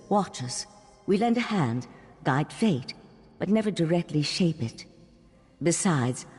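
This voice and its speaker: An actress playing a solemn, dutiful mage of a secretive order in a fantasy game, earnest and measured. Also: female voice, low-pitched, mellow, slightly rough. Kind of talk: fantasy video game voice acting. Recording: long hall reverb, music behind.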